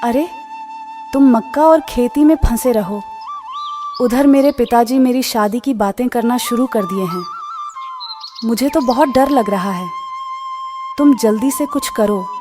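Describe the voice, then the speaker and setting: A woman's voice: on the high side, unhurried, long pauses, music in the background, lightly acted. A woman voicing a young female crow who is bossy and worried, in a cartoon story. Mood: anxious, nagging.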